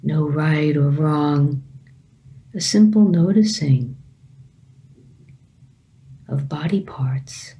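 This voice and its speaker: A woman meditation teacher leading a guided meditation calmly and gently, in short phrases with long pauses. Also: sits low, poor audio.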